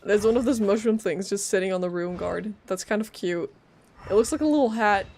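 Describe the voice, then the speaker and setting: A woman with a medium-pitched voice streams a game live. She is casual and playful, muttering jokes to herself as she comments and reads along. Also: fairly quick, darkish tone, slight background noise. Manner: playful, joking, muttering to self. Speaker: game livestreamer.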